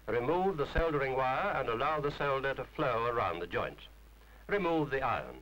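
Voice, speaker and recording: A man's voice: mid-range voice, very animated, moderate pace, fairly bright tone, soft background music, poor audio. A man reading a scripted instructional film narration in a formal, precise, didactic manner.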